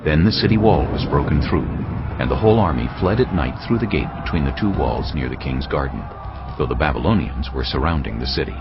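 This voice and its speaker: A man reading scripture as a dramatised audio Bible narration, solemn and measured in his storytelling. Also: extremely low pitch, animated. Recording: slightly dull audio under background music. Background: background music.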